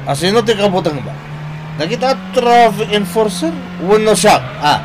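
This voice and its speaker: An actor playing a belligerent drunk husband in an Ilocano radio drama, with slurred, aggressive bluster. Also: male voice, high voice, animated, normal speed, background noise. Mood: drunken, irritated. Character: belligerent drunk husband threatening neighbours with a gun.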